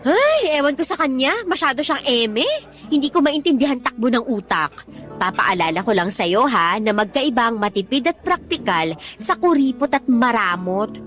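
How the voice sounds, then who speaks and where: An actress playing a blunt, protective young Filipino best friend in a radio drama, scolding emphatically in slangy speech. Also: female voice, on the high side, expressive, moderate pace, a bit bright, soft background music. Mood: exasperated, protective.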